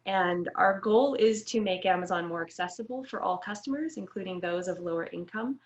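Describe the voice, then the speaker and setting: A woman's voice: mid-range voice, a little fast. A woman speaking as a panelist, giving a professional introduction of herself and her work.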